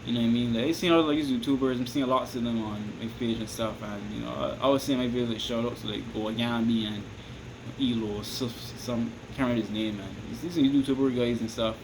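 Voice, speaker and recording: A man with a Barbadian accent talks loosely and at a middling pitch, circling back on himself and checking in with the listener. A steady hiss and air-conditioner hum sit under his voice. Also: brisk. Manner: rambling, repeats "you understand me?", restarts often. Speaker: vlogger giving advice. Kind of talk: casual advice monologue to camera.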